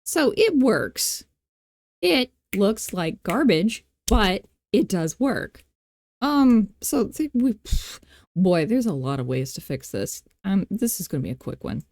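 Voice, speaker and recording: Woman, tutorial host, relaxed dark tone, dry recording, casual thinking aloud, playful, self-deprecating. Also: medium pitch, slow, no echo.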